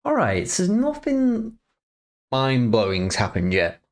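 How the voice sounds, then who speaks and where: A man's voice: mid-range voice, wildly swooping pitch, slow pace. A man streaming a reaction to a fan-dubbed game movie, chatty and wry with laughing asides. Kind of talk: casual reaction commentary.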